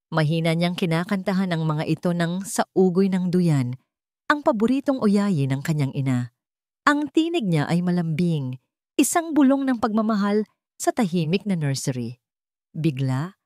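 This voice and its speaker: A woman with a Filipino accent reads a scripted dramatic story in a measured storytelling manner. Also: lowish voice, a little mellow, dry recording, studio quality. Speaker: story narrator. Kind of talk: scripted dramatic narration of a Tagalog love story.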